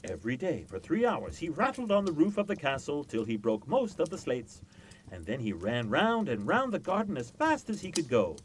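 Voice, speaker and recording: A man reading a classic children's story aloud as an expressive scripted narration. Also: a little high, normal speed, a little hiss or hum, low-quality recording. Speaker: storyteller, reader.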